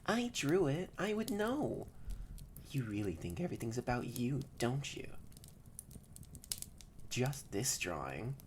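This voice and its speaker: A young adult performer voicing a haughty, grumpy yet secretly shy catboy in an intimate roleplay audio drama, stammering and often slipping into breathy near-whispers. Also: medium pitch, sing-song, slow, pauses often, a little hiss or hum. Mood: flustered, indignant.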